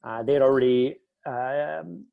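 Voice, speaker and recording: A man's voice: mid-range voice, unhurried, gravelly, no room sound, phone-quality sound. A middle-aged Canadian man chatting casually and enthusiastically as an interview guest, going off on tangents. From Northern Ontario, Canada. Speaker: podcast guest, writer.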